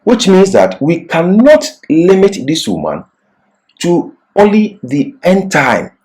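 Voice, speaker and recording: A man giving an expository Bible sermon and reading scripture in an emphatic, deliberate, didactic manner. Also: on the high side, wildly swooping pitch, darkish tone. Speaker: Bible teacher.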